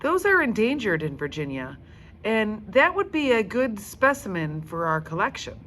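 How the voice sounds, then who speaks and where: A woman's voice: mid-range voice, very animated, normal speed, a bit bright, a little hiss or hum, pitched above the performer's own voice. A woman reading a storybook in the part of a helpful, matter-of-fact female museum guide. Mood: helpful, informative.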